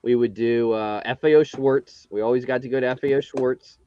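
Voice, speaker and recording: A man's voice: medium pitch, fairly even intonation, brisk, warm tone, clean tone, dry recording, muffled recording. A man in his forties hosting a casual chat, easygoing and telling stories. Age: 40s. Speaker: host.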